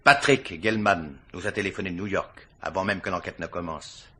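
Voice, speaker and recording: A man's voice: low voice, moderate pace, sharp tone, breathy edge, exaggerated voice. An actor playing a shrewd male investigator in a French radio mystery, theatrical, methodical and insistent as he accuses. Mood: triumphant, cutting. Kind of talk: French radio detective drama acting.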